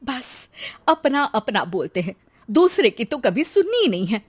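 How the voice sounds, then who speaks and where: A clever village housewife from a cartoon tale, acted by a woman. Her voice is high and very lively, sweet and polite, with put-on innocence. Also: unhurried, husky, full character voice. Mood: exasperated.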